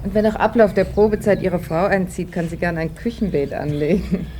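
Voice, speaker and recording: A woman's voice: fairly low pitch, fairly even intonation, a little fast, warm tone, close to an everyday voice. An actress playing a self-assured, well-off lady of the house in a German radio play. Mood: gracious. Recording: roomy, noisy 1980s radio play recording.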